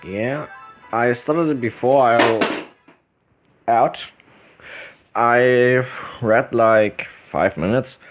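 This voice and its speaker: A man with a German accent talks slowly through a casual let's play, reading game text aloud. He stumbles over words and corrects himself. The recording is dull and lo-fi, with music playing behind him. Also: medium pitch. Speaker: gaming video host.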